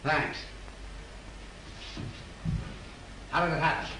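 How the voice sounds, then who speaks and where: An actor playing a hard-boiled police lieutenant in 1930s film style, brusque and full of questions. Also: male voice, on the high side, steady tone, fast talker, close to an everyday voice. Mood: dry, probing. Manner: brusque, interrogating. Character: brisk, hard-boiled police lieutenant leading a murder inquiry. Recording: hissy early 1930s film soundtrack.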